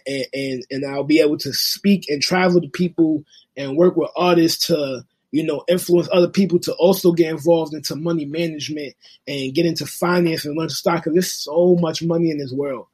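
A young man from New with a husky voice, a podcast guest talking earnestly and motivationally in casual slang. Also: fairly high pitch, a little mellow. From New York. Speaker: guest, music industry worker and forex trader.

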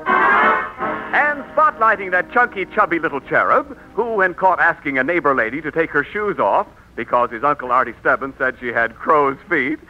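Swooping high-voiced American radio announcer man. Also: very animated, normal speed, pushed and bright. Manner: theatrical, emphatic showman delivery. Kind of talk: 1940s radio announcing, scripted introduction. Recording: dull vintage radio recording with music.

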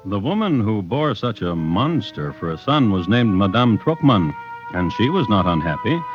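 A muffled vintage radio recording of an American man narrating crime stories over prominent music. His manner is wry and deliberate, with varied intonation. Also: fairly low pitch, very animated. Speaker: host and narrator. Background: prominent musical accompaniment.

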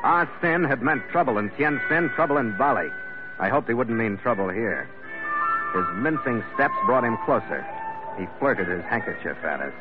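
An actor playing a hardy, wry sea captain, narrating in a hard-boiled, clipped manner as in a 1940s radio adventure. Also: male voice, mid-range voice, animated, normal speed, lightly acted. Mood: wry, storytelling. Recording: muffled old radio broadcast, loud music.

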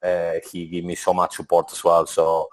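A man's voice: low-pitched, completely flat delivery, normal speed, rough recording. A man in his forties, a former footballer and interview guest, speaking with many restarts and "to be honest". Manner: non-native English, frequent "to be honest" and restarts. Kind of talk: relaxed interview answers.

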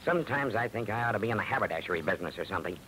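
An actor playing a weary, plain-spoken, sympathetic police detective in a matter-of-fact radio drama style. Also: male voice, fairly low pitch, lively intonation, very fast, pushed and bright. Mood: sombre. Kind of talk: 1940s radio acting. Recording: faintly noisy old radio recording.

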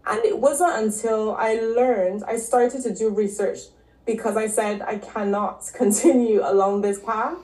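A woman from Barbados, a podcast guest and health coach, telling her story in a relaxed, casual way with frequent ums and likes. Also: a little high, a little slow. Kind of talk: casual interview talk. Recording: echoey room.

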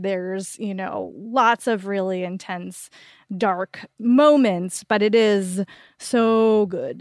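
A woman's voice: mid-range voice, no room sound, clean studio sound. A woman co-hosting a book podcast, giving recommendations in a casual, enthusiastic and emphatic way.

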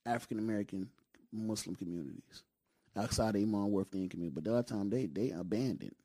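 A man's voice: on the low side, fast, mellow. A man from Detroit, a podcast guest, talking with passion in street slang, making points like a sermon. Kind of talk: casual studio conversation.

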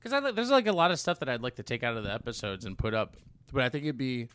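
A man with a mid-pitched voice talks casually as a podcast co-host. He circles around his thoughts, stopping and rephrasing as he goes. The sound is dry, with hardly any room on it. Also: very animated, quick pace, fairly bright tone, clean tone, no echo. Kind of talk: casual chat.

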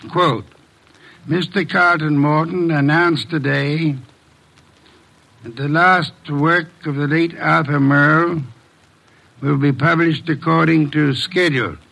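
An actor playing a shrewd, imperious detective with pompous, precise diction, in classic radio mystery style. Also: male voice, a little high, steady tone, slow pace, gravelly, long pauses, sharp tone, heavily acted, low-quality recording. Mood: commanding. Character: shrewd, imperious middle-aged detective, dry and condescending. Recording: old radio drama recording.

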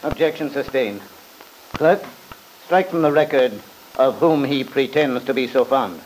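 A man playing a stern, measured judge in an old radio drama, formal and authoritative. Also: mid-range voice, darkish tone, close to an everyday voice. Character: stern, measured male judge presiding over court. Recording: noisy old radio recording.

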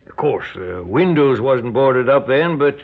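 Folksy backwoods american dad, drawling fake-nice threats, radio drama, dull old recording. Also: male voice, mid-range voice, expressive, measured pace. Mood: sly hospitality. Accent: rural American. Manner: drawling, chuckling, mock-friendly menace. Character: menacing folksy rural father holding a hostage.

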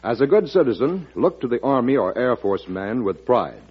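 Old time radio announcer guy, american, husky, very animated script reading, muffled sound. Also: medium pitch, normal speed. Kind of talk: 1940s scripted public service announcement. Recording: muffled vintage radio recording.

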